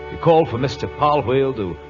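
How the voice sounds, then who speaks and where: A man's voice: medium pitch, lively intonation, fairly quick, natural voice. A man playing a loyal, earnest naval officer who narrates dramatically in an old-time radio play. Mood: solemn narrating. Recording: muffled old radio broadcast, music behind.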